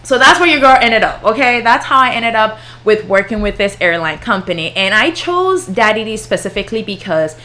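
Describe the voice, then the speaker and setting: A woman's voice: medium pitch, expressive, brisk, hardly any pauses, sharp tone. A woman, chatting casually with viewers on a livestream and laughing often. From Ghana. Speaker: flight attendant and YouTuber.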